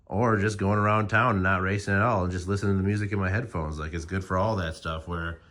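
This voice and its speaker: Low-pitched American man, casual vlogger. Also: fairly even intonation, fast talker, a bit bright. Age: 40s. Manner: informal, slangy, conversational. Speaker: motorcycle vlogger. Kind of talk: casual rambling vlog to camera.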